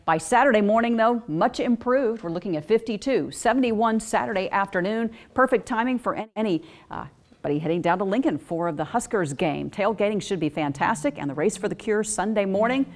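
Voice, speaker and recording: American tv weather lady, medium pitch, talking through the forecast. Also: brisk, a little mellow.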